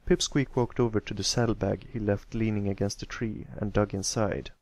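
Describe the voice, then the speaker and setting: A man's voice: lowish voice, steady tone, moderate pace, darkish tone. A man giving a scripted narration of a fan fiction story as an amateur reader.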